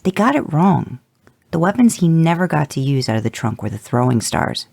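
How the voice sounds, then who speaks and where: A podfic reader voicing an excited thirteen-year-old boy fan, ranting indignantly about a trivia answer. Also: mid-range voice, normal speed, vocal fry, husky, dry recording, studio quality, heavily acted.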